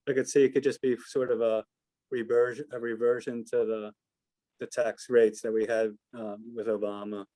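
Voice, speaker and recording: A man's voice: lowish voice, dark tone, no echo, low-quality recording. An American man giving informal analysis as an investment adviser answering questions on a call, hesitant and hedging as he thinks aloud.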